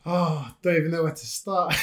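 Eager, chatty French-raised man. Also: on the high side. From France. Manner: eager and chatty, with many fillers. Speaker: podcast co-host. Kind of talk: casual podcast chat.

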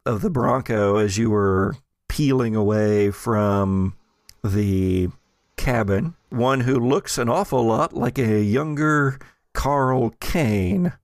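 Male game master, amiable improvised narration, slow and halting, noticeable vocal fry. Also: medium pitch, no room sound, clean studio sound.

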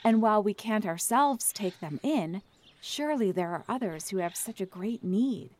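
A woman's voice: mid-range voice, expressive, normal speed, darkish tone, natural voice. A woman acting in an audio play as an anxious, pious wife with an earnest, prim, politely apologetic manner.

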